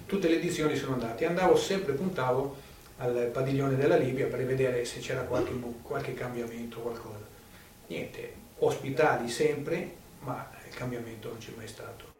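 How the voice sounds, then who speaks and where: An older man gives personal testimony in a conversational storytelling style. His voice is mid-pitched and comes from a distance in a reverberant room, with light noise behind it. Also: darkish tone. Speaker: witness giving testimony. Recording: roomy, distant, noisy video interview sound.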